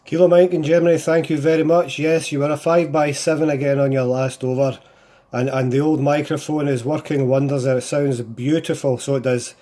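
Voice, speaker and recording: A man's voice: on the high side, flat delivery, a little fast, warm tone. A man with a Scottish accent chatting casually as an amateur radio operator, repeating himself and using radio call phrases. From Scotland. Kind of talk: casual CB radio contact chat.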